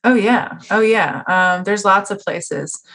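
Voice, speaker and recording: A woman's voice: medium pitch, slow, fairly bright tone, husky, poor audio. A woman hosting a casual conversational podcast interview, speaking in an opinionated, emphatic way with frequent chuckles. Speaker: podcast host interviewing a guest.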